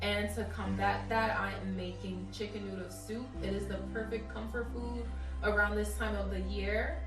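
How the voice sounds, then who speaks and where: A woman's voice: medium pitch, flat delivery, moderate pace, over music. Woman chatting candidly in an echoey room. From Liberia. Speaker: vlogger hosting a YouTube channel. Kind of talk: casual confessional chat to camera.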